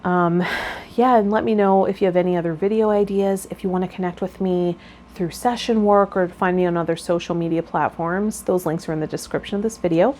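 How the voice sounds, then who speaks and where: A woman's voice: on the low side, steady tone, fairly quick, darkish tone. A woman speaking as a tarot vlogger and guide, explaining and chatting directly to viewers. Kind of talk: reading card meanings, then casual chat to viewers. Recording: clear background noise.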